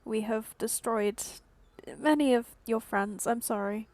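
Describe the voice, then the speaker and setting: A woman's voice: a little high, a little slow, darkish tone, no room sound. A woman giving casual commentary as she plays through a video game. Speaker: game streamer.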